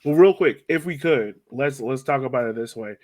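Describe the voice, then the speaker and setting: A man with a mid-pitched voice hosts an informal sports podcast. He thinks out loud, circling back to reword his questions as he steers the conversation. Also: moderate pace. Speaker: podcast host steering the discussion. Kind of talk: casual sports podcast discussion.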